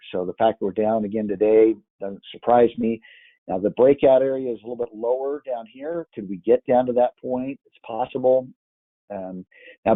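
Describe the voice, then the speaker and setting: A man with a worn-out, hoarse voice talks through price charts, stopping often to restart his sentences. His voice sits a little low. The sound is dry and thin, like a phone call. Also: dry recording. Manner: hesitant, many fillers and restarts. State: hoarse from yelling. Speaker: market analyst. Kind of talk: screen-share chart walkthrough.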